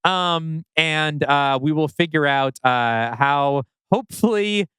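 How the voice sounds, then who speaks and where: A man's voice: fairly high pitch, slow, a bit bright, clear, resonant tone, dry recording. A man co-hosting a podcast in casual discussion. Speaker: podcast co-host.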